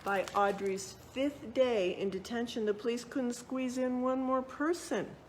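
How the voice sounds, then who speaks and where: Woman reading to children over background noise. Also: on the high side. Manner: expressive, pausing, checking in with questions for young listeners. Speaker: read-aloud storyteller. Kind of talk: reading a children's picture book aloud with asides to listeners.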